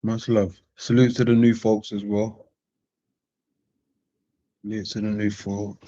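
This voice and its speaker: A man hosting a live-streamed panel chat. He talks casually, repeating phrases and giving slangy shout-outs to viewers. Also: fairly low pitch, little pitch movement, slow. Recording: dull, lo-fi stream audio.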